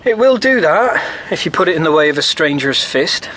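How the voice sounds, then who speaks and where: An actor playing an earnest, polite teenage farm lad with a good-natured rustic manner in a radio drama. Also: male voice, a little high, very animated, brisk, sharp tone, slight background noise, rough recording. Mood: bantering. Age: young adult. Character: earnest eighteen-year-old English farm lad.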